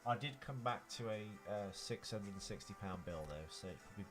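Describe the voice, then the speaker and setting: A man's voice: fairly low pitch, brisk, loud music behind the voice. A man co-hosting a podcast, chatting casually and giving opinionated, rambling takes on films. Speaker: podcast co-host. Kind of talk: casual banter and film commentary.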